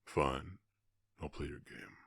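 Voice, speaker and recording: A man voicing a restrained, earnest orc gunslinger in a western ASMR roleplay, with a husky rumble and a Southern US accent. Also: slow, a bit bright, no room sound, studio quality, exaggerated voice. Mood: cold threat. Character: gentle but savage male orc gunslinger, shy in love.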